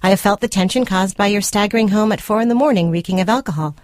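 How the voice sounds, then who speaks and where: A prim, condescending girlfriend played by a woman actor in a radio story reading, mid-pitched and recorded very dry. She is controlling and self-righteous, picking out each formal word with clinical precision. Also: quick pace, no room sound, lightly acted. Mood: self-righteous, reproachful. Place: radio studio.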